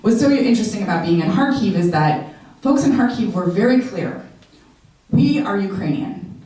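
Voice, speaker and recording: A woman's voice: medium pitch, animated, normal speed, warm tone. An American woman giving an informal presentation to an audience, talking conversationally with frequent "um" fillers. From United States. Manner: conversational, many "um" fillers, explanatory. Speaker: guest speaker. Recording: echoey room, distant mic.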